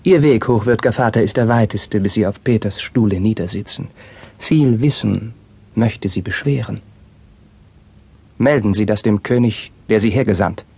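A husky, anguished young prince. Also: male voice, fairly low pitch, normal speed, dark tone. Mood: bitter defiance. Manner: declamatory, emotionally intense, formal verse delivery. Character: young anguished Spanish crown prince, passionate and bitter. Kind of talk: classical German stage drama acting.

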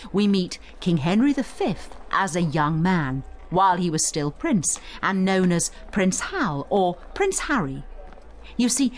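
A woman's voice: on the low side, darkish tone, slight background noise. A woman narrating a scripted educational guide, explaining emphatically and addressing the listener directly. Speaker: narrator and tutor of an audio study guide.

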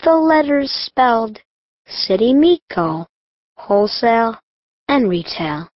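A woman voicing a dreamy young pig in a children's audiobook, reciting slowly and deliberately. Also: fairly high pitch, big pitch swings, no room sound. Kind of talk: audiobook character acting. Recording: dull, lo-fi audiobook sound.

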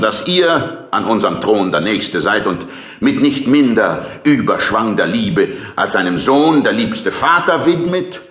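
An actor playing a pompous middle-aged king in a classical audio drama, declaiming smoothly and deliberately. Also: lowish voice, fairly bright tone, echoey room, exaggerated voice.

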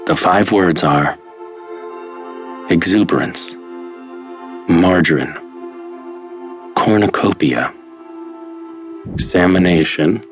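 A man playing a calm, controlling hypnotist who narrates a guided treatment tape in a deliberate, soothing manner. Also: a bass voice, heavily acted. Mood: measured, instructive. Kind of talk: guided hypnosis instructional tape in an audio drama. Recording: dull lo-fi cassette-style sound. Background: faint music.

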